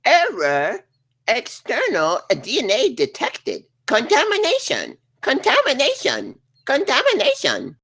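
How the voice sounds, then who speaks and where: A woman's voice: high voice, huge pitch swings, measured pace, a bit bright, breathy edge, voice pushed up, heavily acted. A woman voicing a ship's computer that gives clipped, repetitive alerts in a comedy table read. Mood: robotic alert. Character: alarmed ship's computer announcing errors.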